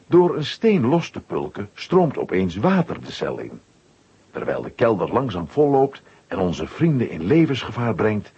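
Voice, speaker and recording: A man with a husky voice narrating a children's audio story from a script. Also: fairly low pitch, a little mellow, muffled recording.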